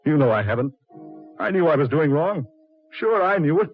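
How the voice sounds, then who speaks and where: An actor playing a guilty, defensive dead millionaire, husky and agitated, in 1940s radio horror style on a muffled old recording. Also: male voice, on the high side, very animated, normal speed, faint music behind. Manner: agitated, pleading, protesting.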